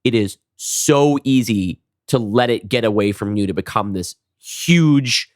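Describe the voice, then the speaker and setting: Lowish-voiced man in casual podcast chat. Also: dry recording, clean studio sound. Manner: halting, repeats words as he works out thoughts. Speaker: podcast co-host and writer.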